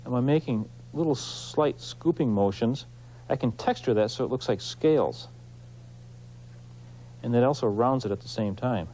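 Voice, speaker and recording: A man hosting an instructional craft demonstration, explaining informally and in a folksy, unhurried way while he works with his hands. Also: mid-range voice, mellow, slight background noise, low-quality recording. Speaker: woodcarving instructor, show host.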